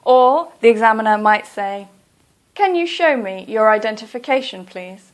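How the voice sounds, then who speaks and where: A woman teaching exam English, speaking clearly and deliberately in a careful, instructive lesson. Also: on the high side, expressive. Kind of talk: slow, careful lesson narration.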